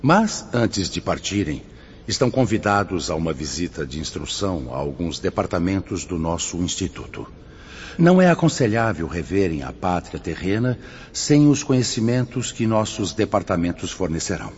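Radio drama spirit guide: fatherly, grave, measured Brazilian, low voice with very animated melody. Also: slight background noise. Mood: courteous, instructive. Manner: measured, didactic, preacherly. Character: solemn, fatherly male spirit mentor guiding suicide souls. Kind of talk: scripted radio drama acting. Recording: muffled audio with music underneath.

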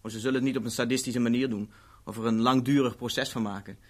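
A man's voice: medium pitch, fairly even intonation, fast, darkish tone, natural voice. A man acting in a 1970s Dutch radio play as an urbane, wry agent who delivers threats politely and matter-of-factly. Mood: cool threat. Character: urbane, coolly menacing male agent of a ruthless organisation.

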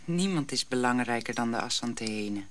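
A woman playing a gentle African mother in a radio drama, speaking in a slow, hushed storytelling way. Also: very deep voice, lively intonation. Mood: soothing. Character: gentle African mother soothing her young child.

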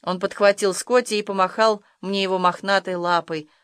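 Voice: medium pitch, steady tone, moderate pace, fairly bright tone, clear, resonant tone, no echo. Audiobook narrator with a dry, close sound. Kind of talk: scripted first-person literary narration.

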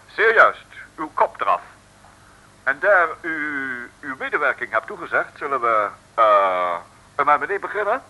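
An actor playing a pompous, politely sinister professor in an old radio serial, speaking formally with many hesitant ehs. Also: male voice, fairly high pitch, sing-song, measured pace, pushed and bright, heavily acted, poor audio. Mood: eagerly sinister.

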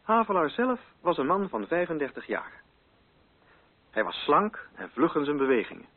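A man reading literary narration for a radio play in a measured, formal and carefully articulated way. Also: a little high, big pitch swings, phone-quality sound.

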